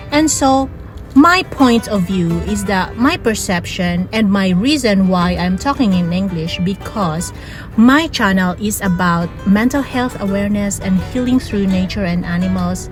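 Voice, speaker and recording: A woman from the Philippines, speaking English with a Filipino accent touched with Australian, talks casually in a vlog, laughing often and drawing out her words. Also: medium pitch. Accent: Filipino, with some Australian. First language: Ilonggo. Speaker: vlogger. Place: home in Australia. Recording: music playing behind.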